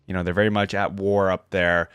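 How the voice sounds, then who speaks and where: A man's voice: sits low, level tone, fairly quick, a bit bright, smooth, dry recording. A man hosting a reaction channel, moving between casual commentary and reading aloud.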